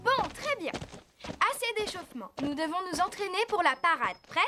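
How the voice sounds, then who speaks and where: A woman's voice: very high voice, big pitch swings, normal speed, bright, forceful tone, faint music behind, heavily acted. A woman voicing an earnest, responsible teenage girl who organizes her friends insistently in a dubbed cartoon. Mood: commanding. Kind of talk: French cartoon dub.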